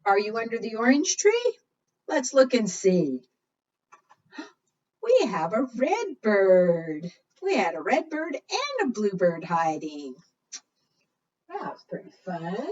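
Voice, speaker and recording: A woman talks to preschoolers as a storytime librarian, her pitch swinging widely as she coaxes them with questions. She takes her time, and the sound is muffled, as from a microphone some way off. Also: wildly swooping pitch, slow pace, pauses often, darkish tone, recorded from a distance. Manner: coaxing questions, exaggerated gasps and singsong refrains. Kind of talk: interactive storytime talk to small children.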